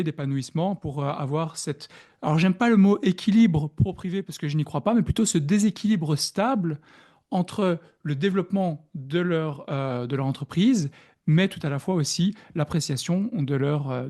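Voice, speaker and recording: A man with a Belgian French accent talks as a podcast guest, measured and reflective, often pausing on fillers. His voice sits a little high but sounds warm and mellow. He explains ideas patiently, building them up step by step. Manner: reflective, hesitant with frequent fillers. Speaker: productivity coach, guest. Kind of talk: podcast interview.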